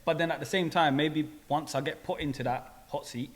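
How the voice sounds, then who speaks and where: Male co-host, easygoing and slightly high voice, casual teasing questions. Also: brisk. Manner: laid-back, chatty, teasing. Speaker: podcast co-host. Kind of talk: casual interview chat.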